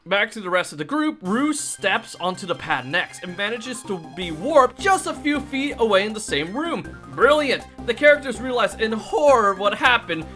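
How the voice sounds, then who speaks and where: Male storyteller host for tabletop gaming video: bright, forceful high voice, theatrical and playful delivery. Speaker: video host and game master. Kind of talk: animated scripted storytelling of a tabletop campaign.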